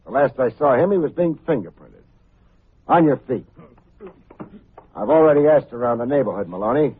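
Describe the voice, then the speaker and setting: An actor playing a wry, self-assured hard-boiled private detective in a vintage radio drama, full of sardonic slang. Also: male voice, medium pitch, lively intonation, normal speed, slightly rough. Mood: tough, accusing. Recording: muffled, tinny vintage radio recording.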